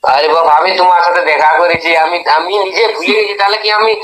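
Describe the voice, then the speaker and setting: A high-voiced man with a rural western West Bengal accent talks casually on a slightly noisy phone call. He is baffled and protests in an exclamatory way. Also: fast talker, fairly bright tone. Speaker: prank call recipient.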